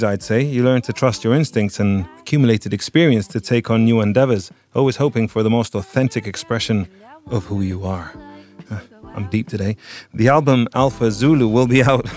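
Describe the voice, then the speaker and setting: A man's voice: mid-range voice, darkish tone. Wry male radio music host. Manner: wry, dryly joking asides. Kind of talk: scripted radio presenting.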